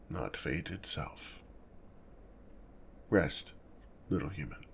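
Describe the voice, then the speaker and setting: A man voicing an awkward, gentle, protective lonely dragon in a soft ASMR roleplay, with a deep gravelly voice and a hesitant, formal way of talking. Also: a little hiss or hum, full character voice. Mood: gentle, hopeful. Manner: hesitant, self-conscious, halting, formal wording. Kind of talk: ASMR roleplay, speaking softly to the listener.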